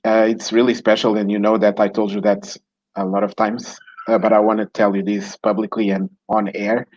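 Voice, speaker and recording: A man's voice: fairly low pitch, level tone, moderate pace, a little mellow, muffled recording. A man from Mozambique, hosting an online talk show in a hesitant way with many fillers and restarts. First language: Portuguese. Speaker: show host.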